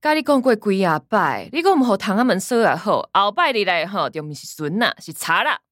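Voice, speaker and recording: Bossy, animated Taiwanese older sister. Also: female voice, mid-range voice, fairly quick, dry recording, studio quality. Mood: scolding. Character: bossy, scolding 27-year-old office woman, older sister. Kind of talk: radio drama acting.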